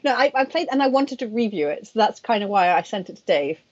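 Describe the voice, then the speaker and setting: A woman's voice: medium pitch, expressive, fast talker, a little mellow. A woman, a professional mahjong player co-hosting a casual stream, chatty and self-deprecating. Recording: dull lo-fi stream audio.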